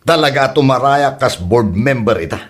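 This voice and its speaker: Boastful Ilocano husband with swinging pitch, man acting. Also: lively intonation, moderate pace, lightly acted. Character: boastful husband planning to run for board member. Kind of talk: Ilocano comedy drama acting.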